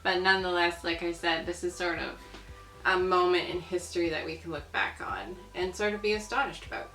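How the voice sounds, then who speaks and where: Woman chatting and reading stuff out, slow pace, echoey room, faint music behind. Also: fairly low pitch, steady tone, pushed and bright. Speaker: video host. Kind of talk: casual chat while reading aloud from old newspapers.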